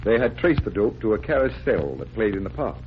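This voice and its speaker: A man's voice: lowish voice, sing-song, fairly quick, slight background noise. A man playing a suave magician detective, polite, genial and unflustered, in 1940s radio drama style. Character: suave, composed adult male magician detective. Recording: dull vintage radio recording, music behind.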